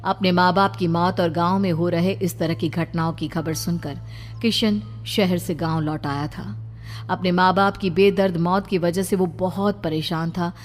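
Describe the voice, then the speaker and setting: Female narrator for horror cartoon stories, a little low in pitch, dramatic storytelling over soft music. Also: steady tone, moderate pace, big-room sound.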